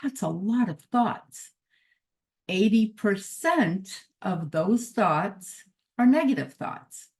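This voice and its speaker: A woman's voice: medium pitch, animated, slow pace, a little mellow. A middle-aged woman, originally Croatian-speaking, giving an upbeat motivational talk as a mindset coach, with frequent laughs and emphatic repeated phrases. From Canada, born in Croatia.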